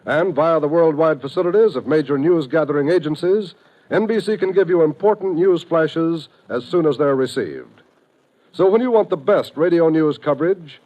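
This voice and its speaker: A man's voice: fairly high pitch, mellow, poor audio. An American man announcing from a script in a formal, declamatory 1950s radio style.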